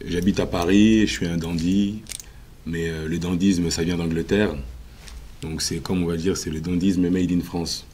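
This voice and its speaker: A man answering questions as a musician guest in a TV interview, confident and emphatic, with frequent filler pauses. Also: low voice, fairly even intonation, darkish tone, slight background noise. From Congo.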